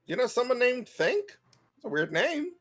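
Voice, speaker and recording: A man's voice: extremely high pitch, very animated, normal speed, a bit bright, soft background music. A man running a tabletop game as game master, teasing the players with dry humor.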